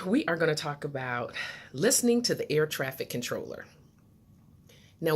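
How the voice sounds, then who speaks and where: A woman speaking as a motivational host to her viewers, in a deliberate, instructive way that stresses key words. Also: low voice. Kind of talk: motivational talk to camera.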